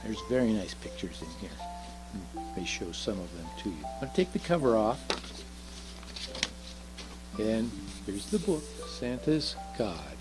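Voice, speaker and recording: A man's voice: lowish voice, sing-song, a little slow, pauses often, poor audio. A man reading a children's story aloud expressively, in the role of Santa. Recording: music behind the voice.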